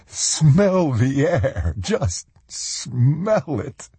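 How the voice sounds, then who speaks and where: A rustic old man for a storybook, folksy and full of wonder. He draws his words out slowly in a husky voice, emotional and excitable. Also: on the high side, no echo, pitched above the performer's own voice, full character voice. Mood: rapturous. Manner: folksy, exclamatory, drawn-out.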